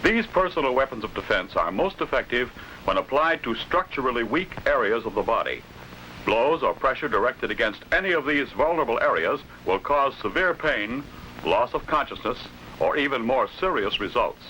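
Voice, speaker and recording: A forceful American man narrates an old instructional film in a formal, authoritative manner. His pitch moves in lively steps as he carefully enunciates each phrase, over a noisy vintage soundtrack. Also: normal speed.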